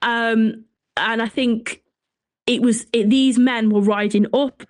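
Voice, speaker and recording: A woman's voice: fairly high pitch, fairly even intonation, takes it slowly, no room sound, clean studio sound. Shropshire woman telling local history. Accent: Shropshire English. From Shropshire, England. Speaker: podcast guest, folklore and history writer. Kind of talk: conversational historical storytelling.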